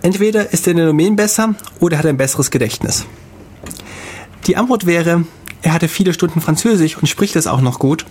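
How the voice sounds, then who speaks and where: A man co-hosting a casual podcast, telling travel stories in a halting way with many fillers. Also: a little high, expressive, a little mellow, a little hiss or hum. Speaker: podcast co-host. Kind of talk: casual chat.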